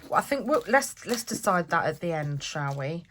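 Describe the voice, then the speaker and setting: A woman's voice: fairly low pitch, big pitch swings, normal speed, fairly bright tone, slight background noise. A woman hosting a craft vlog, chatting and thinking aloud as she works, a bit stuffy with a cold. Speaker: craft channel host. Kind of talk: casual crafting vlog talk.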